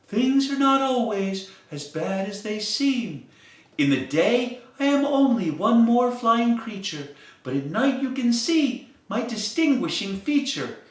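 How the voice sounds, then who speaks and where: A man voicing a wise, kind male firefly who speaks in a gentle, reassuring way, in a very echoey room. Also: very high voice, pitched above the performer's own voice, heavily acted.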